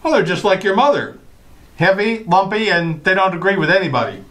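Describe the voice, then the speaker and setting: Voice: medium pitch, expressive, a little fast, fairly bright tone, natural voice, poor audio. A performer playing a harried, sarcastic husband and father, dry and impatient, in an old-time radio comedy.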